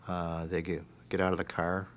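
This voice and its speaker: A man recounting a case as an interviewed investigator, matter-of-fact, with hesitant pauses. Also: deep voice, monotone, fairly bright tone, slight background noise.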